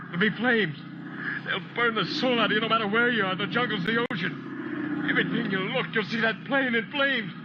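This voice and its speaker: An actor playing a desperate, loyal friend pleading earnestly and urgently in an old-time radio drama. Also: male voice, high voice, fairly quick, close to an everyday voice. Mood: grim, urgent. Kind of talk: 1940s radio drama acting. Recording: muffled, noisy old radio recording.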